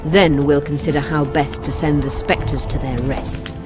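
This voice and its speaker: Commanding sorceress, music behind. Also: female voice, low-pitched, steady tone. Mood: composed, businesslike. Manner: brisk, formal, imperious. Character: self-assured, commanding female sorceress. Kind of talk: video game voice acting. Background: game music.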